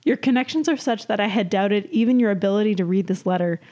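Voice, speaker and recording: A woman reading as a morose, haughty young Regency gentleman with formal period diction in a comic story. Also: medium pitch, quick pace, close to an everyday voice. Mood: haughty, declaring.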